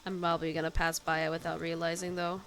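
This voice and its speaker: A woman's voice: deep voice, little pitch movement, fast talker, fairly bright tone. A woman game streamer giving casual, chatty gameplay commentary, thinking aloud as she plays. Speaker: game streamer reacting to a story quest. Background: game music.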